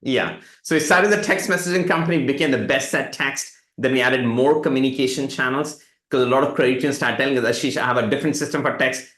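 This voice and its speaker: A middle-aged man from India, a native Hindi speaker, tells stories with energy and slips into other people's voices when he quotes them. His pitch sits in the middle range. The room around him sounds hollow and echoey. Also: quick pace, hardly any pauses. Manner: animated storytelling, quotes others in lively voices. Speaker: tech company CEO, guest. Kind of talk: live webinar interview.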